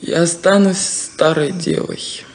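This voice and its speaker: An actress playing a sad, resigned unmarried farm daughter, wistful and deliberate, in a 1970s radio play. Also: female voice, on the low side, darkish tone. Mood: resigned, bitter.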